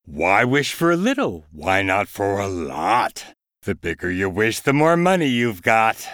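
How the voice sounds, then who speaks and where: A man voicing a sly, scheming male cat in a storybook reading, coaxing and persuading in a slow, deliberate way. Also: fairly low pitch, sing-song, a bit bright, dry recording, studio quality.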